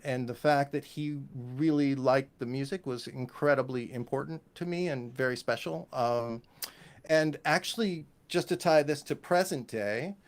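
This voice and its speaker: Man telling stories in a casual interview. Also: medium pitch. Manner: animated anecdotes, quotes others and sings musical examples. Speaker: guest, composer and music teacher.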